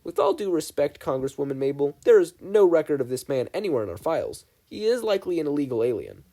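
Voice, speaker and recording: A stern male government agent, as a man reads him in an audio story. He is dismissive and officious, clipping his orders in a mellow voice. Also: on the high side, very animated, fast talker. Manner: curt, officious.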